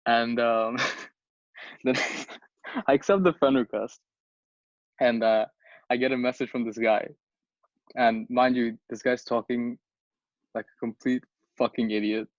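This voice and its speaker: A man's voice: medium pitch, fairly even intonation, takes it slowly, long pauses, no echo. A young man from Bangladesh tells a story in loose, casual podcast talk. He stumbles and restarts often, says "like" and "you know" a lot, and swears offhandedly. The recording is dry and slightly dull. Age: young adult. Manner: rambling, many restarts and fillers, swears casually. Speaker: podcast co-host. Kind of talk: casual chat.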